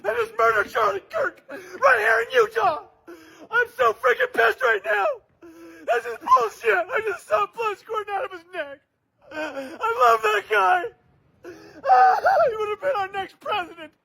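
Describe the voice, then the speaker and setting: A woman crying and shouting in a frantic, furious, swearing rant from a car while driving. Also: extremely high pitch, lively intonation, measured pace, gravelly, rough recording. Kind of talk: raw emotional rant.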